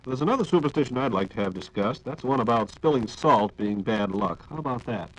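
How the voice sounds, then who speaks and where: A man's voice: medium pitch, lively intonation, brisk, poor audio. A man with an American accent hosting a scripted 1940s radio dialogue, conversationally prompting with questions. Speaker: radio host feeding questions to the expert. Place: radio studio.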